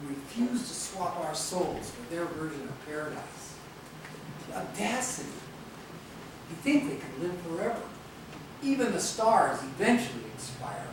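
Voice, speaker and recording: A man's voice: on the high side, sing-song, unhurried. A man acting an earnest, idealistic elder rebel mentor in a stage play. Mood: earnest, reflective. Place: theatre stage, recorded from a distance. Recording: noisy, echoey stage videotape from far off.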